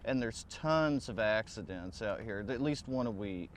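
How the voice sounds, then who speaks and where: A man's voice: fairly low pitch, animated, a little slow. A man speaking off the cuff in an outdoor street interview. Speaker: local interviewee. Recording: clear outdoor traffic noise.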